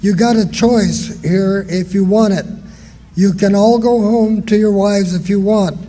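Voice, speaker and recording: A man's voice: sits high, a little slow, mellow. A man playing a threatening small-town sheriff in a radio drama, barking orders and haranguing. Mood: stern, coercive.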